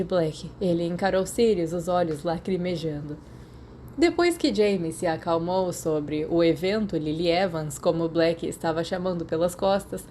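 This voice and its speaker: A woman's voice: on the low side, mellow, slight background noise. A woman with a Brazilian accent reading a story aloud as an audiobook narrator. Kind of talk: scripted fiction narration.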